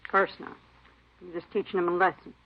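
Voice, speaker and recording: A woman's voice: on the low side, level tone, a little fast, gravelly. An actress playing an aggrieved, defiant cleaning woman with plaintive, insistent, clipped folksy phrasing in a 1950s radio drama. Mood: calm, self-justifying. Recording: muffled vintage radio recording.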